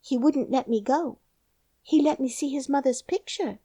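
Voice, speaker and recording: A woman's voice: high voice, moderate pace, warm tone, no echo, pitched above the performer's own voice. A woman voicing an earnest, outspoken young girl in a storybook reading.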